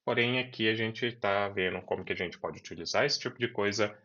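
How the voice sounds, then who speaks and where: Low-voiced man teaching code. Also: brisk, pushed and bright. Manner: talks students through code step by step, repeating key words. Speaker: programming teacher. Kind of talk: screen-recorded coding lesson. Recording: dull lo-fi voice recording.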